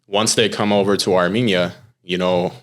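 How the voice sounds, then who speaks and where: Young man, very deep voice, American, casual interview talk, relaxed explaining. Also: normal speed. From United States. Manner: relaxed, explanatory, with filler words and laughs. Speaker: chef and pitmaster, podcast guest.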